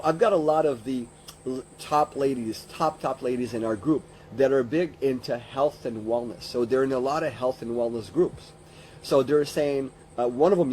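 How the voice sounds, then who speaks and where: A man's voice: medium pitch, a little mellow, a little hiss or hum, poor audio. A man in his fifties giving an informal motivational business coaching talk, rambling conversationally and repeating phrases for emphasis. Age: 50s.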